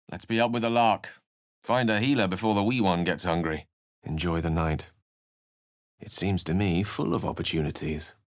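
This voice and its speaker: A man performs a learned male wizard from a fantasy game. His voice sits low, with careful articulation and theatrical, old-fashioned courtesy. A melancholy streak runs under the polished, bookish phrasing. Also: moderate pace, close to an everyday voice. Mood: warm, musing. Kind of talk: video game character voice lines.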